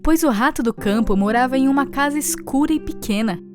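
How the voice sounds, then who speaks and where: A woman's voice: sits high, fairly quick. A woman with a Brazilian accent narrating a scripted children's story. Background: music.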